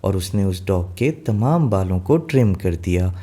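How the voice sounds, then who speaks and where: A man's voice: low voice, expressive, normal speed, dark tone. A man narrating a scripted children's fairy tale in simple language, mixing in some English words. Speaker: storyteller.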